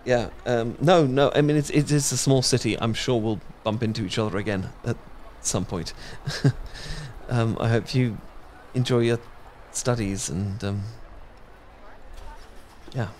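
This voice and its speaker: A man's voice: medium pitch, measured pace, a little mellow, a little hiss or hum. A young British man in a romantic audio roleplay, talking shyly with many fillers and restarts. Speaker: ASMR audio roleplay performer.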